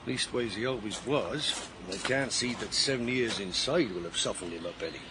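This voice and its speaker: A man's voice: on the low side, background noise, natural voice. An actor playing an older retired policeman, chatty and nostalgic, in a naturalistic crime drama. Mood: cautioning. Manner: chatty, unhurried, chuckling old-timer with British slang. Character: retired policeman, older man, avuncular, nostalgic, shrewd adviser.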